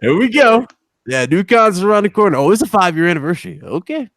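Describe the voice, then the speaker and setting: A man's voice: high voice, big pitch swings, fast, fairly bright tone. A man hosting a casual gaming livestream, chatting informally and teasing his co-hosts as he talks to the audience. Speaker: host of a gaming podcast livestream. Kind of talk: casual live-stream chat and news commentary.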